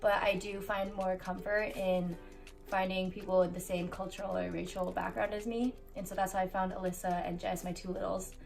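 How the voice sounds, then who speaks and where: A woman's voice: medium pitch, little pitch movement, normal speed, gravelly. A twenty-one-year-old woman explaining things plainly in a casual interview. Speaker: college student, sorority member. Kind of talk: casual personal interview answers. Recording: distant mic, music underneath.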